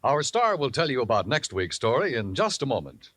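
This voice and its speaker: A man announces in scripted 1950s radio style. His medium voice swings dramatically up and down over clearly audible music. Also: mid-range voice, sing-song, a little fast, fairly bright tone.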